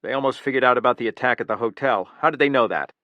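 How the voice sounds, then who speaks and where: A man's voice: medium pitch, quick pace, no room sound, muffled recording, natural voice. A man voicing a bitter, menacing male killer who makes threats and flares into anger, in an audiobook reading. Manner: threatening, rising to angry outbursts.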